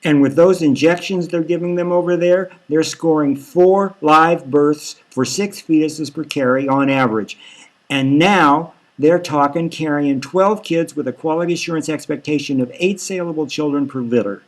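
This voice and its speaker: Slick, condescending boss for satirical audiobook, read by a man; slightly high male voice, cajoling salesman patter. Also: normal speed, close to an everyday voice. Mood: pushy, scornful. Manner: folksy hard-sell, cajoling. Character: slick, pushy, patronizing male boss of surrogate mothers. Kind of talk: satirical audiobook character reading.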